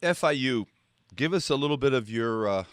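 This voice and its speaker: A man hosting a business radio show, introducing and questioning a guest in a halting way with many restarts. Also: mid-range voice, lively intonation, smooth, no room sound. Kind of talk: interview hosting.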